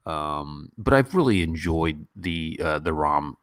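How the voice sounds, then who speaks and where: Male livestream host, low-pitched and informal, rambling conversational delivery, very dry close sound. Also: slow pace, no echo. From Western country. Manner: informal, animated when mimicking others, rambling. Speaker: YouTube host. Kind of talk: casual livestream chat and commentary.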